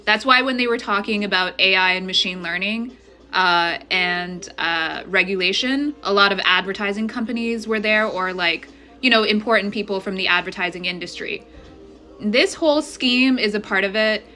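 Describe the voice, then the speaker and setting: Bright-voiced, insistent woman vlogger. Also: medium pitch, moderate pace, faint music behind. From Ethiopia. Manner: emphatic, indignant and insistent, often checking in with the viewer. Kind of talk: direct-to-camera monologue.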